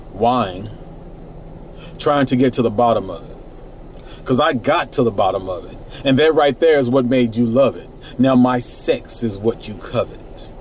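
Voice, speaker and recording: Middle-aged guy doing spoken word in a parked van at night, laid-back rhymes, mid pitch, faint hum behind. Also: a little mellow. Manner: rhythmic rhyming delivery, laid-back. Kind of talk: reciting spoken word poetry. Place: parked minivan at night, red lighting.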